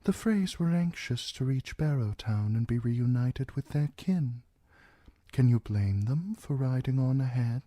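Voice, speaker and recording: A man voicing a cold, soft-spoken older lord who murmurs everything mildly. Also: medium pitch, lively intonation, a little slow, no echo, voice pushed up, natural voice. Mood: mild, cool.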